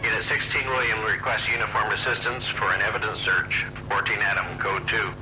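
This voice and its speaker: Low-voiced police radio dispatcher. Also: male voice, flat delivery, fairly quick, background noise. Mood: brisk, official. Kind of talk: clipped radio call. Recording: police radio, loud sound behind.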